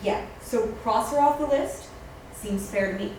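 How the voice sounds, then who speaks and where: Woman acting shifty office lady, high-pitched voice, secretive, table read, very echoey distant recording. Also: measured pace, a little mellow, close to an everyday voice. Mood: businesslike. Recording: distant, very echoey room, faint noise.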